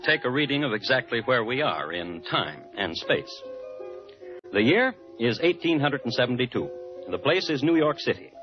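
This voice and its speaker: A man narrating a scripted old-time radio drama as host, wry, measured and formal. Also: medium pitch, sing-song. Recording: dull vintage radio recording, music behind.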